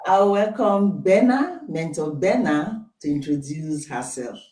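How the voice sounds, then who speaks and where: A woman from Nigeria hosting a live online talk show, speaking slowly and formally as she welcomes viewers. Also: deep voice, lively intonation, darkish tone, echoey room, far from the mic. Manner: slow, deliberate, formal welcomes.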